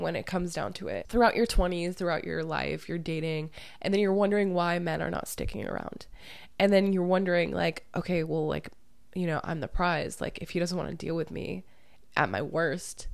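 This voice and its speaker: A woman's voice: fairly low pitch, normal speed, creaky, no echo. A young woman who has lost part of her voice to illness, hosting a casual solo podcast as a dating coach and talking candidly with some swearing. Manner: candid, swears freely, laughs easily. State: hoarse, losing her voice from illness.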